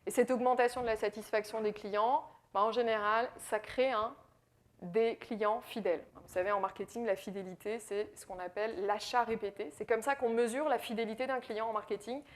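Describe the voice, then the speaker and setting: A woman's voice: fairly high pitch, steady tone, brisk. A woman from France with a French accent giving a business presentation, explaining with frequent fillers and repeated words. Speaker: AI consultant speaker. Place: presentation in Quebec.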